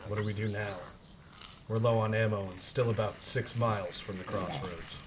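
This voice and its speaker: A man's voice: fairly low pitch, fairly even intonation, normal speed, natural voice. Man as a gritty sergeant, muffled audio. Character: loyal, gritty American army sergeant, wary and sardonic. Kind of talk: audio drama acting. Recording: slightly noisy, muffled audio.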